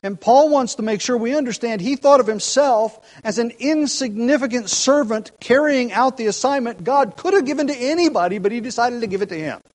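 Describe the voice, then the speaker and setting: A man's voice: very high-pitched, fairly quick, few pauses. A man preaching an expository sermon, earnest and emphatic, often restarting phrases.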